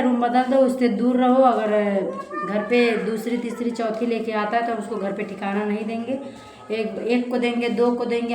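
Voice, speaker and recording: A young woman vlogger speaks Hindi with a Bhojpuri accent in a fairly high voice. She chats earnestly and plaintively, often repeating herself. The room is echoey, with clear noise behind her. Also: a little mellow. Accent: Bhojpuri-accented Hindi, eastern Uttar Pradesh. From eastern Uttar Pradesh, India. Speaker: family vlogger. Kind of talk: casual confiding vlog chat to viewers. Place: indoors in a rented room.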